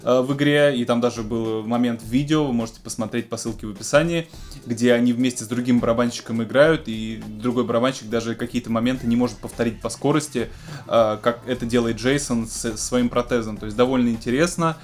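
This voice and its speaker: Male host, thirties, mid-pitched, conversational explainer of music tech news, music underneath. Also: fairly even intonation, quick pace. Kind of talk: casual news presenting to camera. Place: small home music studio, indoors.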